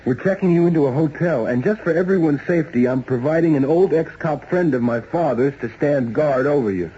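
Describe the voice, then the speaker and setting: An actor playing a steady, wry ex-cop investigator in a 1970s radio drama, with plain-spoken, probing delivery. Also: male voice, fairly high pitch, fairly quick, natural voice. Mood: firm, matter-of-fact. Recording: dull, noisy old radio recording.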